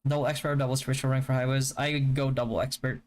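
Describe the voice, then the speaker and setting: A young man from the Philippines whose first language is Hiligaynon, chatting casually on a live stream and answering viewer questions in a relaxed, stop-start way. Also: medium pitch, fast. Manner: relaxed, stop-start, with frequent fillers and restarts. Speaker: game streamer.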